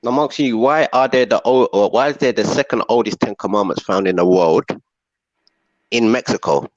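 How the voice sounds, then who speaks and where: A man's voice: lowish voice, normal speed, no room sound, dull audio. A man with a West Indian accent, arguing his point insistently and repeating himself in a casual debate. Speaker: guest.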